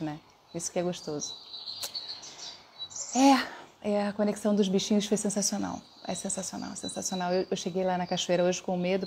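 A woman's voice: fairly low pitch, noisy background. A middle-aged woman hosting a casual livestream from her living room, effusive and affectionate, greeting viewers by name. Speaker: host, voice teacher.

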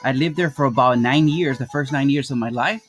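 A Guatemala-born man who teaches math, giving a casual slide presentation to his students and explaining things in a friendly, plain way. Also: mid-range voice. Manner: friendly, addresses students as "you guys", explains slowly. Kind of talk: informal classroom presentation over slides. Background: marimba music playing softly.

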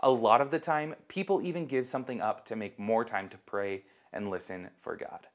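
A man's voice: on the low side, moderate pace. A man in his twenties giving a children's sermon to camera in simple, encouraging language. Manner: simple, encouraging, asks listeners questions. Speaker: children's ministry host and teacher.

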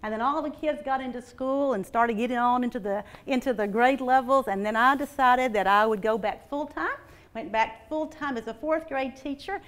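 Lively woman addressing teachers. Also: a little high, moderate pace. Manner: energetic, folksy, animated gestures. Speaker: school administrator. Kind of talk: staff training talk. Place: large meeting room with tables. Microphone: lapel mics.

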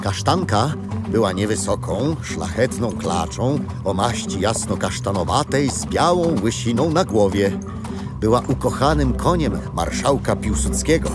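A man's voice: on the low side, animated, measured pace. An actor playing a gallant man of prewar times in a children's radio play, speaking in a courtly, declamatory way. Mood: courtly, proud. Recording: music behind.

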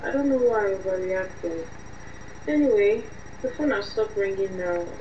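A woman's voice: medium pitch, animated, normal speed, close to an everyday voice. A woman playing a young woman who hides her lover from her mother, flustered and pleading, her voice cracking. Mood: apologetic. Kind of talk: radio drama acting. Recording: slightly distant, muffled, faint hiss.

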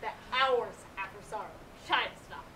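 A woman playing a solemn friar in a Shakespeare play, giving measured, moralizing counsel. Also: medium pitch, bright, forceful tone, close to an everyday voice. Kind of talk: Shakespearean stage acting. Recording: echoey room, distant mic, faint noise.

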